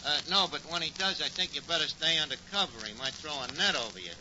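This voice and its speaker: An actor playing a wisecracking tavern manager with a New York accent and slangy, mock-grand speech in old radio comedy. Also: male voice, fairly high pitch, lively intonation, fast, natural voice. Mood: cocky. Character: scheming, wisecracking male tavern manager, malaprop-prone. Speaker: sitcom lead. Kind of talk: 1940s radio comedy acting. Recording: noisy, dull 1940s radio recording.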